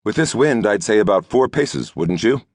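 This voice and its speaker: Man voicing formal young lord Sandry, gravelly and decisive. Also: fairly low pitch, animated, normal speed, no room sound, pitched above the performer's own voice. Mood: urgent, commanding. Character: young lord, formal, brisk fire commander. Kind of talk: audiobook character dialogue.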